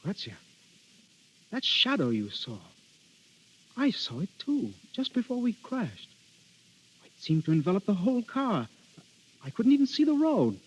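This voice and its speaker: A man's voice: high-pitched, huge pitch swings, a little slow, pauses often, breathy edge, full character voice. An actor playing an ardent, earnest young nobleman in a theatrical vintage radio drama. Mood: shaken. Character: ardent young nobleman, a duke's son and anxious suitor. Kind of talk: 1930s-40s radio acting. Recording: muffled old radio broadcast recording.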